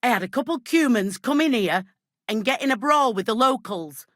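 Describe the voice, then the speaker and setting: An actress playing a brusque, gossipy, world-weary medieval village innkeeper in a video game, talking in blunt, earthy, scolding phrases. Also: female voice, fairly high pitch, lively intonation, normal speed, a bit bright, no room sound, studio quality. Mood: exasperated. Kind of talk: video game character dialogue.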